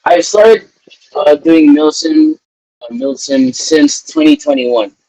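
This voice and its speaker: A young man from Canada chatting casually on a podcast in an animated way, often repeating his phrases. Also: a little high, slow, creaky, no echo, rough recording. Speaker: podcast co-host. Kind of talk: casual chat.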